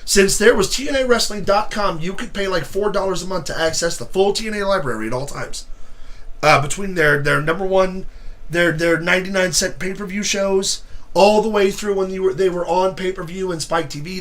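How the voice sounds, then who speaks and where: A man with a high, bright voice chats casually as a wrestling show host. He is losing his voice, and he breaks into shouted, swearing rants. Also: moderate pace. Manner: ranting, swearing, shouting in bursts. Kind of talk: casual chat.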